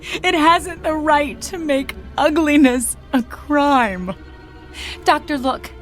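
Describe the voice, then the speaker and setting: An anguished young woman patient in a radio drama. Her voice is high and she draws her words out slowly, with a pleading, fragile temper. Faint music sits underneath. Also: fairly bright tone.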